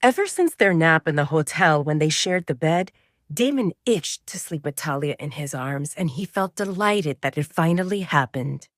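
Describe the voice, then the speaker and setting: A woman narrating scripted romance fiction in an expressive, dramatic storytelling manner. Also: low voice, moderate pace, no room sound, clean studio sound.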